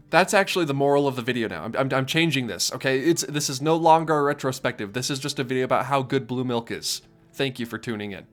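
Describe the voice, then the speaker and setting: A man with a head cold, sounding congested and nasal, talking casually through a video essay with rambling jokes and asides. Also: fairly high pitch. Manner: rambling, joking asides, warm thanks.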